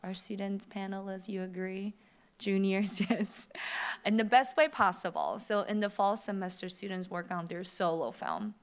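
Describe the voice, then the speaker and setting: A woman's voice: mid-range voice, moderate pace. A middle-aged woman from Taiwan giving an upbeat open house presentation in a theater, speaking directly to prospective students. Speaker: animation faculty presenter. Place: theater auditorium.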